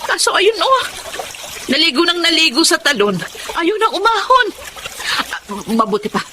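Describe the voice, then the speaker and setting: A woman's voice: extremely high pitch, big pitch swings, normal speed, sharp tone, slight background noise, full character voice. An actress with a Filipino accent playing an anxious, emotional middle-aged mother in a radio drama, pleading and accusing. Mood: anxious, impatient. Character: anxious mother of grown sons, grieving and bitterly accusing.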